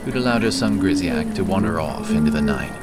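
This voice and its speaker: Deep-voiced man narrating fantasy. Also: lively intonation, fairly quick, very noisy. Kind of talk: scripted fantasy story narration. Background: music and effects.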